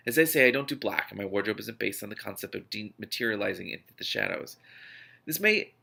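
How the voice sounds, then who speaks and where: A man's voice: on the low side, fast talker, vocal fry, bright, forceful tone. A man reading a novel aloud expressively, often stumbling and breaking off to react. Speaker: book reader, reaction host.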